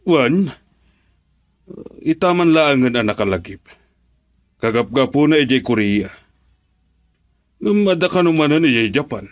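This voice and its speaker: A man's voice: mid-range voice, very animated, measured pace, pauses often. An actor playing a genial, encouraging older businessman father in an Ilocano radio drama, with a Filipino accent. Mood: fatherly.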